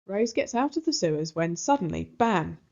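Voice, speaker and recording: A woman narrating a children's storybook aloud in an expressive style. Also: lowish voice, moderate pace, highs cut off.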